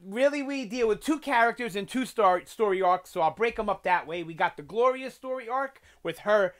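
Young New guy hosting a TV review channel, animated and loose, lots of "um" and "you know", webcam sound. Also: high-pitched, moderate pace. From New York. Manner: animated, gesturing a lot, chatty with fillers. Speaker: TV review host. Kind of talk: casual video review. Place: small bedroom with collectibles on shelves.

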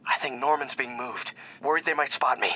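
A man voicing a worried young superhero lookout in a video game, reporting with hushed urgency. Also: mid-range voice, brisk, husky.

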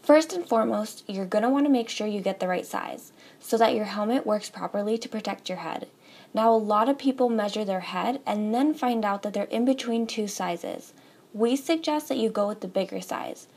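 A woman's voice: mid-range voice, moderate pace, darkish tone. A young woman presenting a store's product guide, in an upbeat, scripted manner that addresses the viewers directly. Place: studio with a table, voice-over for most of the video.

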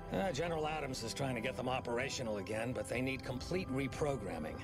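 A man's voice: medium pitch, lively intonation, quick pace, music in the background, close to an everyday voice. Man acting a weary older army general. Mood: stern, harried. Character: gruff older military general, weary, authoritative commander. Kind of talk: video game cutscene acting.

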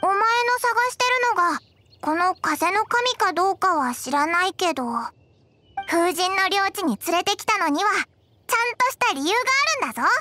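A woman's voice: very high voice, lively intonation, moderate pace, sharp tone, slight background noise, exaggerated voice. A woman voicing a small, cheerful floating fairy guide in a Japanese anime game, with a childlike voice and boyish wording. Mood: explaining, proud. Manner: rough boyish wording in a cute voice. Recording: music under the voice.

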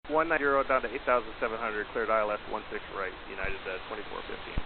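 A man's voice: on the low side, quick pace, phone-quality sound. Male pilot over noisy cockpit radio. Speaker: airline pilot. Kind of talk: radio readbacks to air traffic control.

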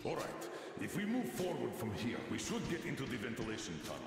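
An actor playing a gruff, weary middle-aged military commander in a video game, terse and commanding. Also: male voice, mid-range voice, huge pitch swings, quick pace. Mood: practical. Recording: echoey reverberant hall, music behind.

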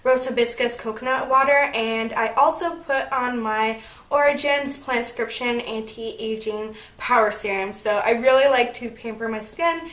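A woman's voice: fairly high pitch, moderate pace, a bit bright. Chatty young woman in an echoey room. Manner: chatty and upbeat, narrating each step. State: slightly croaky, recovering from illness. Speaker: beauty vlogger. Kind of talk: casual makeup tutorial chat. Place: bedroom at home, indoors.

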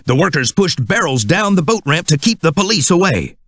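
Male narrator, children's read-along, very lively intonation, slightly high voice, dry studio sound. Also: brisk, dry recording. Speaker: storybook narrator.

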